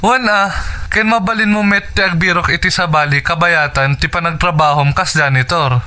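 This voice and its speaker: A man's voice: on the high side, steady tone, normal speed, bright, forceful tone. A man playing a sympathetic, helpful young friend in a radio drama, speaking supportively with an Ilocano Filipino accent.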